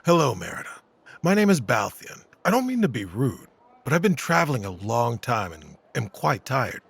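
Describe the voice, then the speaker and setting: A man playing a courteous, reassuring veteran knight in an audio drama, with a gravelly voice. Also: mid-range voice, sing-song, moderate pace, fairly bright tone, full character voice. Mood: kind, patient.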